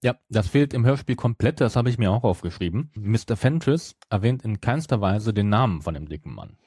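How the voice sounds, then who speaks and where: A man in casual podcast conversation, picking over details with a questioning mind. His voice is fairly low and dark, with a husky grain. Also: expressive, fairly quick, no room sound, clean studio sound. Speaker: podcast co-host. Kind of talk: casual fan discussion.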